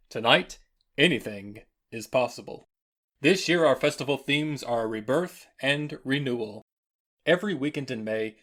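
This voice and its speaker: A man's voice: mid-range voice, a bit bright. A man hosting with a scripted announcer's introduction for an audio theater show. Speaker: festival host.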